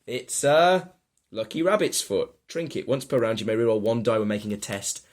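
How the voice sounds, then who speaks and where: A man casually playing a board game with friends, reading cards aloud and getting excited. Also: medium pitch, moderate pace. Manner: excitable, reads card text aloud.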